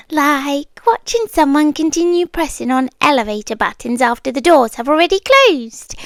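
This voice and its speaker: A young British woman voicing an obsessive, doting girlfriend in an ASMR roleplay, speaking softly and sliding into close whispers. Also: sits high, dry recording, heavily acted. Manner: soft-spoken, drifting into whispers, gently unsettling.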